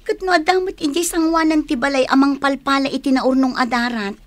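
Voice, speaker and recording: An actress playing an excitable, aggrieved farmer's wife, expressive, with an Ilocano Filipino accent in a radio drama. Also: female voice, high voice, brisk, dry recording, lightly acted. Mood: puzzled.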